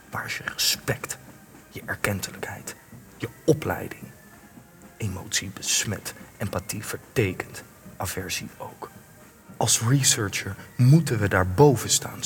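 A man's voice: on the low side, natural voice. An actor playing an indignant young male journalist in a radio play, articulate and reproachful. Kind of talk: radio play acting in Dutch.

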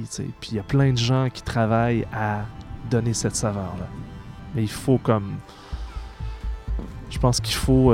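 A man with a Québécois accent hosting a podcast, talking casually and reflectively, often searching for his words. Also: medium pitch. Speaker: podcast host. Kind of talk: casual conversation.

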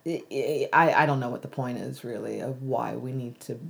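A woman's voice: very deep voice, moderate pace, creaky, a little hiss or hum. An American woman being interviewed, talking thoughtfully with many restarts and easy laughs. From United States. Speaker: interview guest. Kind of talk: reflective conversational interview.

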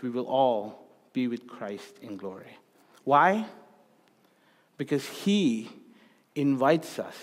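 A fairly high-pitched male preacher who grew up in Sri Lanka, delivering a sermon in a church. He repeats key phrases for emphasis and swings between raised passages and hushed ones. His voice rings out with a long hall reverb. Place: church sanctuary.

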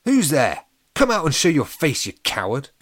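A man voicing a cheeky, bold schoolboy in a children's storybook, with excitable, slangy speech. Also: mid-range voice, moderate pace, voice pushed up. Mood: startled, angry.

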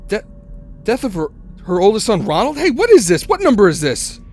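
A man's voice: high-pitched, huge pitch swings, brisk, a little mellow, faint music behind. An actor playing a frightened, haunted man on a road trip who stammers anxiously as he tells his story in a radio drama. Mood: shaken, distraught. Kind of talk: radio drama first-person narration and acting. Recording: noisy radio drama recording, vehicle ambience.

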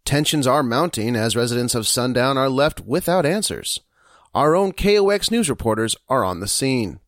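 A serious male news anchor played by an actor in an audio drama. His mid-pitched voice is formal and measured, recorded close and dry. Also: expressive, no echo, natural voice. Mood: grave, measured.

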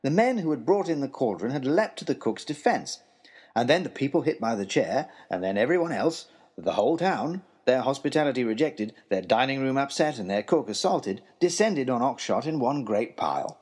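Earnest young gentleman adventurer, acted by a man: wry, old-fashioned comic storytelling, husky tone, lively swooping delivery. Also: mid-range voice, normal speed. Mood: dramatic. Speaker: narrator reading his character's letters.

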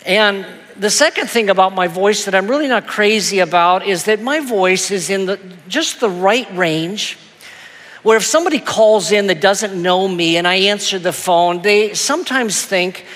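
Soft-voiced man with a high, soothing tone. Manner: gentle, self-deprecating, halting with repeats. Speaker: pastor. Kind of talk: sermon storytelling. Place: church auditorium. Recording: long hall reverb.

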